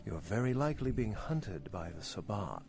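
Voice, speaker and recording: Worldly vampire scholar, game character, low voice, lively intonation, wry, articulate, formal phrasing. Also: male voice, moderate pace, gravelly, soft background music. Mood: cool, courteous. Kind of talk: video game character dialogue.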